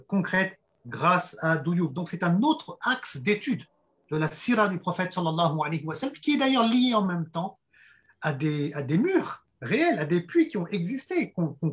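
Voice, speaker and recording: A hoarse man giving an earnest fundraising presentation, halting with frequent fillers and restarts. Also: on the high side. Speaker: project presenter.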